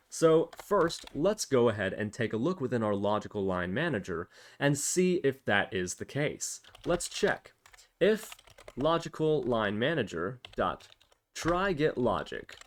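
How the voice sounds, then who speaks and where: Man narrating a tutorial with lively, swooping pitch. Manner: explanatory, thinking aloud while demonstrating. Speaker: programming tutorial presenter. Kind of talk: casual step-by-step software tutorial narration.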